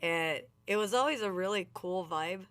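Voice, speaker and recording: A woman's voice: fairly low pitch, moderate pace, a bit bright. A woman co-hosting a podcast in casual chat, easygoing, laughing often and tossing in short agreeing replies. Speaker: podcast co-host.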